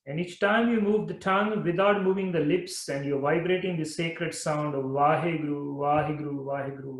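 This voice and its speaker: A man leading a guided mantra meditation, talking slowly and repeating phrases in a soothing way. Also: a little high, echoey room, poor audio. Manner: slow, repetitive, soothing guidance. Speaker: meditation teacher.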